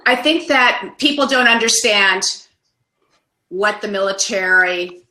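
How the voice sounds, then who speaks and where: A woman with a bright, forceful voice lays out her points firmly, one after another. She sounds like she is in a bare, echoing room on a video call. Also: mid-range voice, slow pace, slight background noise. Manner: emphatic, lists points firmly. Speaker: panelist. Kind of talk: casual panel chat. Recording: roomy echo.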